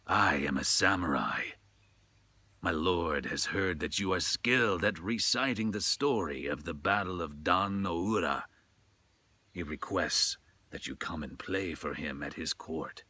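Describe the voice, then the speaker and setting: A man voicing an imperious ghostly samurai with a gruff voice in a ghost story. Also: deep voice, unhurried, bright, forceful tone, dry recording, full character voice. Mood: stern, commanding.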